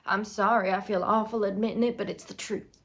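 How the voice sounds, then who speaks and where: A woman's voice: medium pitch, steady tone, fairly quick, a bit bright, natural voice. A woman acting a desperate, tormented young farm wife in an intense dramatic film monologue. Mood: confessional, yearning. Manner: intense, swinging from whispers to anguished outbursts. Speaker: acting student.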